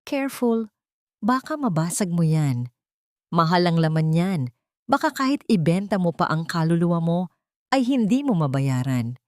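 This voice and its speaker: A woman's voice: fairly low pitch, big pitch swings, a little mellow, dry recording, clean studio sound. Haughty glamorous rich mistress, voiced by a woman. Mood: mocking. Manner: gushing, mocking, drawn-out English endearments.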